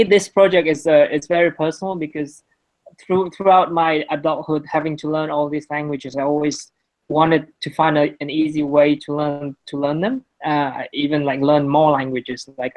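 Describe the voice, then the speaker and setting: A man's voice: on the high side, a little mellow, low-quality recording. Hesitant young man. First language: Vietnamese. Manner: hesitant, many restarts and fillers. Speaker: student presenter. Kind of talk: talk to a class with questions after.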